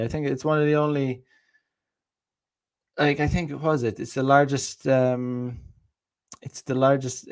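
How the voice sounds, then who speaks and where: A man's voice: mid-range voice, a little mellow. Irish male host, mid-range voice, rambling and profane casual monologue. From Ireland. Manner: rambling, profane, many restarts. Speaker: podcast host.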